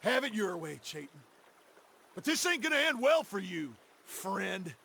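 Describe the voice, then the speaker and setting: An actor playing a sly, cynical American outlaw in a game cutscene, with a gravelly, drawling, taunting voice. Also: male voice, high-pitched, sing-song, unhurried, sharp tone, exaggerated voice. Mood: sly, bitter. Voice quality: gravelly, creaky. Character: sly, cynical outlaw ex-sheriff of the Old West. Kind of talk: video game cutscene acting. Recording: echoey, reverberant sound with music behind.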